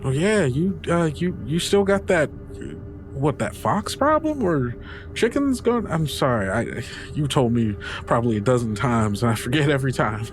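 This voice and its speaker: A man's voice: medium pitch, very animated, moderate pace, soft background music, voice pushed up, lightly acted. A man voicing a neighborly, rueful country woman with folksy, hesitant phrasing in a tabletop roleplay. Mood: troubled, rueful. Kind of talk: improvised in-character tabletop roleplay.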